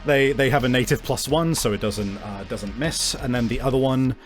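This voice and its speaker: A man's voice: mid-range voice, normal speed. A man improvising narration as a game master, descriptive and often searching for his words. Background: faint music.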